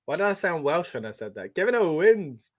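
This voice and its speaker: A man hosting a live watch-along, chatting excitedly and reacting as he reads out viewer comments. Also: a little high, brisk. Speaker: wrestling watch-along host.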